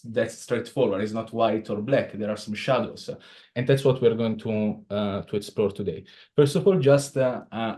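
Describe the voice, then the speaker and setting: A man's voice: lowish voice, darkish tone. A man from Italy with an Italian accent, giving an informal lecture to a study group in a bookshop room, hesitant and full of filler sounds. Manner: hesitant, many filler sounds, non-native phrasing. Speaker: presenter.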